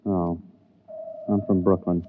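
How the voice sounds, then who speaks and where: An actor playing a plain-spoken American rocket captain in his twenties, steady and casual, in a radio drama. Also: low voice, slow pace, soft background music. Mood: bashful. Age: 20s. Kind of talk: 1950s radio drama acting and first-person narration. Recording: noisy, phone-like 1950s radio recording.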